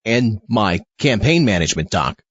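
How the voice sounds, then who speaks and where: A man's voice: on the low side, very animated, moderate pace, a bit bright, husky, no room sound, dull audio, full character voice. A man as a wildly swooping, whiny zombie sidekick. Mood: exasperated. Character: exasperated zombie campaign manager sidekick. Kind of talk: comedic audio drama acting.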